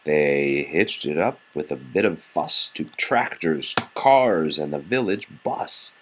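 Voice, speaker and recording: A man with a husky voice reads a children's picture book aloud expressively to young children, with casual, patient asides. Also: a bass voice, animated, takes it slowly, background noise.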